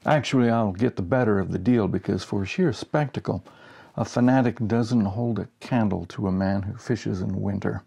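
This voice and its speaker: A man in his sixties reads a humorous story aloud with expression. His voice is mellow and husky, with a gravelly fry running through it. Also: lowish voice, normal speed. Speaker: story reader. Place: small home office, indoors.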